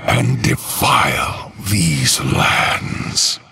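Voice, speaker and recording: A cruel undead death knight king, rumbling extremely low with a heavily rasping, husky growl. He makes imperious, menacing declarations very slowly, as a video game villain-hero would. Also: very animated, sharp tone, full character voice. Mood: imperious, solemn. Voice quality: very deep, gravelly rasp. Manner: slow, menacing, commanding declarations.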